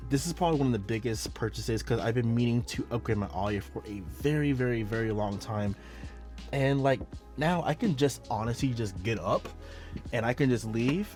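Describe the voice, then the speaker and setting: A man's voice: mid-range voice, darkish tone. A man with a bit of a nasal, sick-sounding voice chatting casually to his viewers in a vlog intro, upbeat and full of filler words, speaking into a small wireless mic. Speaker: photography vlogger. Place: indoors at home. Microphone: small wireless mic clipped to his hat.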